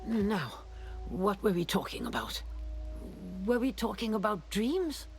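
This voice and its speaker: A woman's voice: mid-range voice, sing-song, unhurried, soft background music. An actress playing a dreamy, eccentric female mage in a video game, with a musing, theatrical delivery. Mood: dazed musing. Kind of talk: video game character acting.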